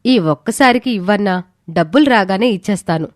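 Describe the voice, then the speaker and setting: A woman's voice: mid-range voice, lively intonation, a little fast, no room sound, studio quality, lightly acted. A woman playing a worried young wife in a story, earnest, pleading and reproachful.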